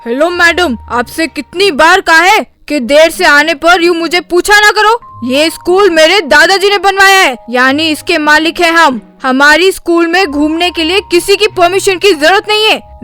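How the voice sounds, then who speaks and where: A woman's voice: extremely high pitch, moderate pace, few pauses, pushed and bright, soft background music. A woman voicing an arrogant, spoiled schoolboy in a comedy cartoon, bossy and haughty. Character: arrogant bratty schoolboy, rich man's spoiled son. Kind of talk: Hindi comedy cartoon voice acting.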